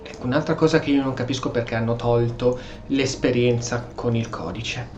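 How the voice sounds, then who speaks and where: A man's voice: mid-range voice, steady tone, fast talker, darkish tone, dull audio. A man from Italy giving casual let's play commentary, reacting aloud and answering characters in role. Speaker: gaming video host. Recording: faint game ambience behind. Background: video game audio.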